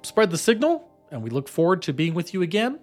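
A man's voice: high voice, big pitch swings, fairly quick, a little mellow, faint music behind. A man presenting a scripted promotional outro and cast credits for an audio production.

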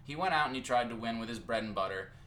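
Man, bright tense voice, casual sports debate, halting restarts, faint hiss behind. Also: medium pitch, low-quality recording. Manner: hesitant, restarts often, then builds a steady argument. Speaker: podcast co-host.

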